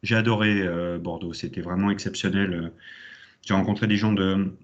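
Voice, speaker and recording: A fairly low-voiced man in his thirties talks with enthusiasm and plenty of hesitant fillers. He is giving an alumni talk at an online conference. The audio is dull and lo-fi, as on a video call. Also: fairly even intonation, moderate pace. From Champagne, France. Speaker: alumni guest speaker.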